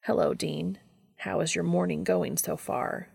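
A woman voicing an earnest, courteous, quietly flirty man in a storybook reading, with formal, measured wording. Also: lowish voice, fairly even intonation, creaky, breathy edge, no echo, clean studio sound, exaggerated voice. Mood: courteous, inviting.

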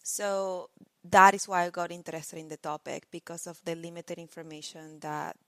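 A woman from Venezuela, a young adult researcher, talks in a somewhat low voice and walks through her work step by step, earnest and explanatory, now and then restarting a phrase. Her voice sounds dry and close to the mic. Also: level tone, moderate pace, no echo. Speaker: PhD researcher guest. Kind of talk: podcast interview.

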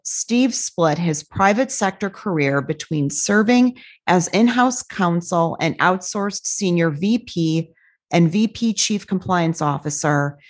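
Female podcast host, measured formal delivery, slightly low pitch. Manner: reads a long formal bio in a measured way. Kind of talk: scripted guest introduction.

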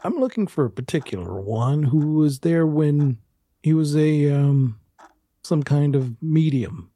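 A man playing a courteous, quietly menacing film studio boss in an audio drama, questioning slowly with insinuating hesitations. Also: fairly high pitch, animated, no echo, pitched above the performer's own voice. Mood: pressing. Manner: halting, deliberate, insinuating questioning. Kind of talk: 1920s-set audio drama roleplay.

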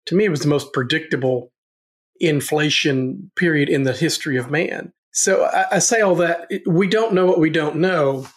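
Halting middle-aged man, Southern US accent. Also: fairly high pitch. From Tennessee, USA. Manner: halting, frequent restarts, earnest. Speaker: guest, real estate investor. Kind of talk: casual interview talk.

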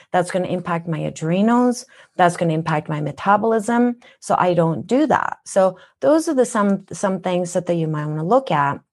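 A woman's voice: medium pitch, darkish tone. A woman from Japan with a Minnesotan accent, speaking as a wellness guest in an interview, earnest and teacherly, asking rhetorical questions. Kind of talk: podcast interview.

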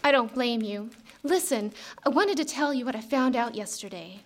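An actress playing a gossipy, weary 1950s office secretary in a live radio drama on a theater stage. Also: female voice, fairly high pitch, expressive, moderate pace. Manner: chatty, gossipy.

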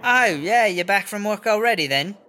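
A man's voice: high-pitched, animated, moderate pace, noisy background. A man playing a brash, chatty, foul-mouthed prison inmate with a British accent in a comedy audio drama. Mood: sheepish. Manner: rambling, slangy, sweary. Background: music.

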